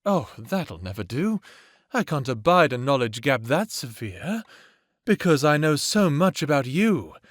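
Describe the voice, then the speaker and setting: A man's voice: mid-range voice, sing-song, measured pace, no echo, studio quality. A man acting a sadistic, playful vampire villain who taunts theatrically in an audio drama. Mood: mocking, taunting. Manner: taunting, theatrical, toying with words.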